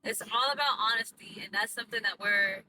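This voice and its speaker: A woman's voice: medium pitch, fairly quick. Dry, teasing woman, far off in a car. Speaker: podcast co-host. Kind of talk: casual podcast banter. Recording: distant mic, car noise.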